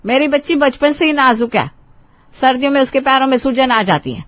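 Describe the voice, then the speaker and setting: A woman's voice: medium pitch, expressive, a little fast, a bit bright, no room sound, lightly acted. A woman playing a bossy, scolding middle-aged mother-in-law in a dramatized family story. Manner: scolding, overbearing, theatrical.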